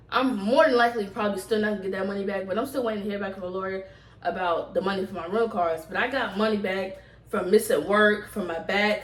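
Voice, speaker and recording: Echoey, distant woman telling a casual story. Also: medium pitch. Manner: animated, rambling, slangy delivery with emphatic repeats. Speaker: vlogger. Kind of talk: casual storytime chat to camera. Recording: roomy echo, distant microphone.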